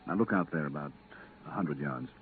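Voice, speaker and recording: An actor playing a weary, blunt veteran at a moon research station, firm and curt, in 1950s radio drama style. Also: male voice, very deep voice, lively intonation, a little fast. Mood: grim. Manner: firm, curt, grimly insistent. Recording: tinny, band-limited, hissy 1950s radio recording.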